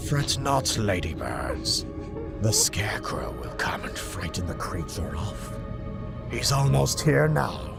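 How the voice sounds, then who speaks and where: Under loud music comes a deranged doctor villain of an audio drama. The deep voice swings widely and creaks, menacing and theatrical, with a sinister sing-song lilt. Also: male voice, big pitch swings, slow, full character voice. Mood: fervent, unhinged. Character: deranged, menacing male doctor turned Scarecrow cultist.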